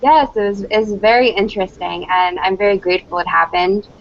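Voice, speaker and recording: A young woman in her early twenties calls in to a show. Her voice sits at an average pitch and she chats casually. The line is dull and lo-fi. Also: steady tone, a little fast, slight background noise. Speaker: caller. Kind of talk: casual phone-in chat. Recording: dull, lo-fi internet call.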